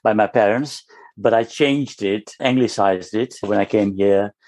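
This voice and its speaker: A man in his seventies, born in East Africa, speaking in a relaxed, hesitant way as a podcast guest. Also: on the low side, measured pace. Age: 70s.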